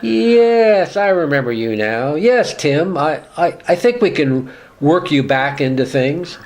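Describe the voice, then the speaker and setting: A man's voice: fairly high pitch, lively intonation, takes it slowly, darkish tone. A man playing a forgetful, genial law-firm boss in a radio sitcom, hesitating and repeating himself. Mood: befuddled, genial. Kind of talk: sitcom-style radio play dialogue.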